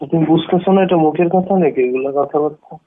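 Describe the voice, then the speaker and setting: A man's voice: on the high side, fast. A man arguing on a phone call, defensive and dismissive, often retorting with questions. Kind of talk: argumentative phone conversation. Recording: tinny narrowband phone call recording.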